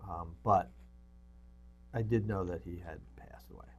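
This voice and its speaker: A man's voice: low voice, a little slow, dull audio. A man co-hosting a movie show in a casual, rambling way, sometimes muttering asides as he goes. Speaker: co-host of a movie review show. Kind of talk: casual unscripted movie chat, reading synopses aloud.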